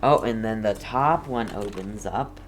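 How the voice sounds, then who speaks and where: A man's voice: fairly low pitch, a little slow. A man giving casual gameplay commentary as a streamer, with dry, understated joking. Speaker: gamer, streamer.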